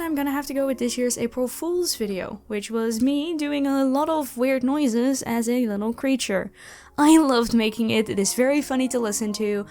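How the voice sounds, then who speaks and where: A young woman with a fairly high voice talks through viewer questions in a chatty way. Her delivery is expressive, stretching some words for emphasis. Music plays softly behind her. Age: young adult. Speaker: ASMR audio roleplay creator and voice actor answering questions. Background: background music.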